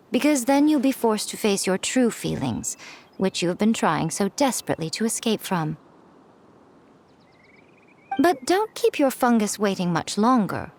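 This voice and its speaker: A woman's voice: on the high side, a little mellow. A woman playing an elegant, self-assured mystical lady in a game, haughty, composed and knowing. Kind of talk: English game dub voice acting.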